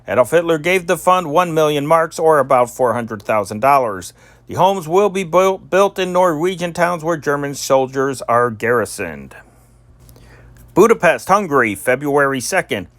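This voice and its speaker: Man reading news, higher-pitched voice. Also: expressive, moderate pace, fairly bright tone, no room sound. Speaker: amateur newsreader and channel host. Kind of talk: scripted news reading of old newspaper stories.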